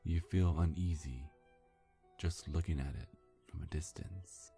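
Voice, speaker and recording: ASMR narrator, hushed whisper, very deep register, slow deliberate phrasing, long pauses. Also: soft background music. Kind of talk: ASMR scripted story narration.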